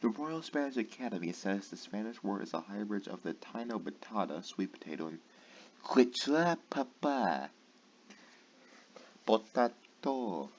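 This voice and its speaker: Tired man, low voice, stumbling sarcastic reader, dull lo-fi mic with faint hiss. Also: big pitch swings, measured pace, a little mellow. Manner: stumbling reading, sarcastic asides, swearing. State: tired late at night. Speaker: channel host. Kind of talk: comedic reading aloud.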